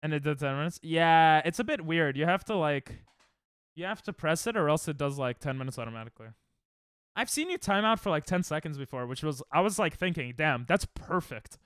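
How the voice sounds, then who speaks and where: A sarcastic man bantering with his chat while he streams a game. His voice sits a bit high and swings up and down a lot. The sound is smooth, close and dry. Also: expressive, brisk, a bit bright, no echo. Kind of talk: casual live-stream chat while gaming.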